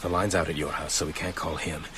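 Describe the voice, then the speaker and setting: An actor in an audio drama playing a determined, jittery man hunting vampires, urgent and halting as he thinks aloud. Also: rumbling low, steady tone. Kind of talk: broadcast audio drama acting. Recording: loud sound-effect noise behind voice.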